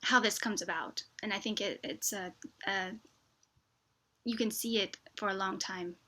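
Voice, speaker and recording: A woman's voice: medium pitch, fairly even intonation, measured pace, bright, forceful tone. A middle-aged American woman giving an informal explanatory talk, illustrating her points with everyday examples. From United States (Wichita, Kansas). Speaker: vlogger, expat presenter.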